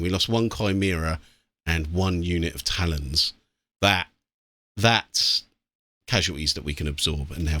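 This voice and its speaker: A man's voice: low-pitched, pushed and bright. A British man giving casual gameplay commentary with blokey, sweary banter. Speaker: let's play host. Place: small warm room indoors. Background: game music.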